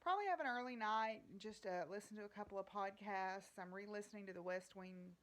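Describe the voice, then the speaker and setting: A woman's voice: medium pitch, fairly quick, a bit bright. A woman chatting casually in a home vlog, rambling along with plenty of fillers. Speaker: vlogger.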